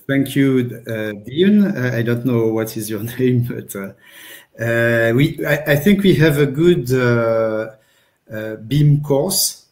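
A man on a video call, speaking haltingly with stammers and fillers. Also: medium pitch, darkish tone. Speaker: participant. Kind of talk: casual video call discussion. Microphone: video call, laptop mic.

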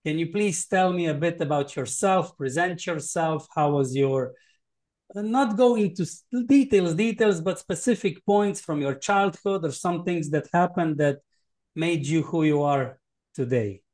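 Higher-voiced man hosting. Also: unhurried, a little mellow. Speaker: host. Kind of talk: podcast interview. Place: bright home studio. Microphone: podcast mic.

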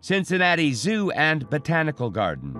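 A man with a fairly high, very animated voice delivers scripted audio description. Music plays clearly behind his narration. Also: normal speed. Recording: loud music under voice. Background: music with guitar and singing.

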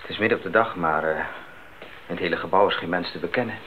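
Actor as deep-voiced calm young missionary. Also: male voice, quick pace, natural voice. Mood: calm, puzzled. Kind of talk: 1980s Dutch radio drama acting. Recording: narrow, noisy old recording.

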